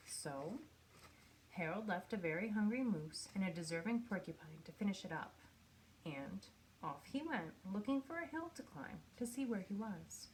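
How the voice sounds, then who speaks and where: Woman reading a children's story, noisy recording. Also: medium pitch. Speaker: storybook reader. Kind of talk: read-aloud children's picture book narration.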